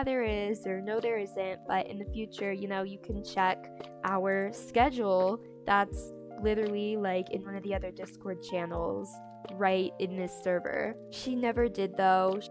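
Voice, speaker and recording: A young adult woman telling a casual storytime, candid and chatty, with lots of "like" and incredulous emphasis. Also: medium pitch. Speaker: storytime creator. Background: background music.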